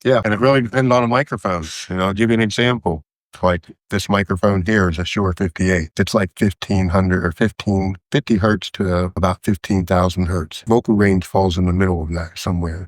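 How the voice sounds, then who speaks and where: Deep-voiced middle-aged American man. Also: no room sound. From West Virginia, United States. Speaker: sound engineer, interview guest. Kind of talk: conversational technical explanation and anecdotes.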